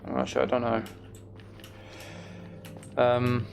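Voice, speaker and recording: A man's voice: mid-range voice, completely flat delivery, slow pace, gravelly, a little hiss or hum. A British man giving casual video game commentary, thinking aloud as he plays. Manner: thinking aloud, mild swearing. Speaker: gamer narrating own play.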